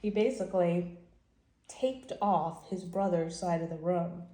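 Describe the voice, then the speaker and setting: A woman's voice: lowish voice, measured pace, mellow, echoey room. A woman hosting a children's read-aloud, telling the story and explaining it in simple, repeated phrases for young listeners.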